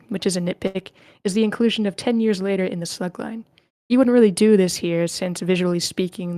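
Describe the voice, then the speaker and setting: A woman's voice: mid-range voice, darkish tone, no room sound, clean studio sound. A woman casually hosting an online writers' group, calling on people and reading comments aloud. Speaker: host moderating a writers' feedback session. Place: live online meeting room.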